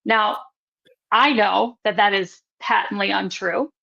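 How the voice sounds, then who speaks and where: A woman's voice: medium pitch, steady tone, gravelly, bright, forceful tone. Woman host, slightly dull audio. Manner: measured, hedging with "um" and "kind of". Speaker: podcast host. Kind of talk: interviewing a local candidate.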